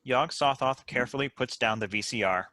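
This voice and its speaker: A man's voice: mid-range voice, fairly quick, bright, forceful tone, dry recording. A man reading stage directions aloud as scripted narration for a comedy script.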